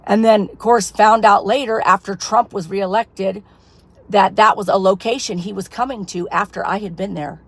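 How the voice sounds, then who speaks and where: A woman's voice: medium pitch, fairly even intonation, normal speed, no room sound. Woman losing her voice, rambling. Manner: rambling, filler-heavy storytelling. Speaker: ministry leader. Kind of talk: casual personal testimony to camera.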